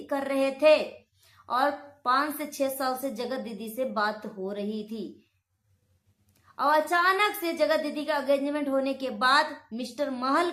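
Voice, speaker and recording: A woman's voice: high voice, fairly bright tone. A woman giving opinionated gossip commentary in an accusatory manner, repeating phrases for emphasis. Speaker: online reaction commentator. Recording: roomy, echoing sound.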